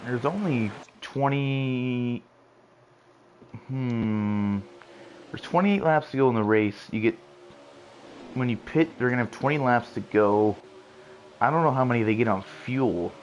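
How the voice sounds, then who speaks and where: A man giving casual commentary on a sim race, excitable and lively as he calls the action. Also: mid-range voice, unhurried, long pauses, lo-fi sound, slight background noise.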